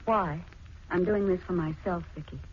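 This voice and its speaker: A woman playing a resolute, wounded young wife with measured delivery in a 1940s radio melodrama, on a muffled recording. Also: on the low side, a little hiss or hum, natural voice. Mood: cool.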